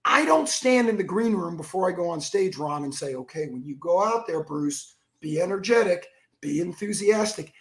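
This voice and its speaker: A man with a froggy, rough voice telling stories as an energetic interview guest, acting out the quotes. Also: high voice, moderate pace. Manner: energetic storyteller who acts out quotes. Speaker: guest, keynote speaker and author. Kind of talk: animated interview talk.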